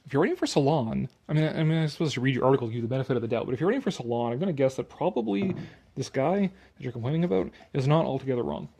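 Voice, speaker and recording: Gravelly, husky man, sarcastic and theatrical. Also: medium pitch, lively intonation, racing, dark tone. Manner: mocking, sarcastic, theatrical, sweary. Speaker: commentator reading an article aloud with his own remarks. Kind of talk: sarcastic dramatic reading mixed with casual opinionated commentary.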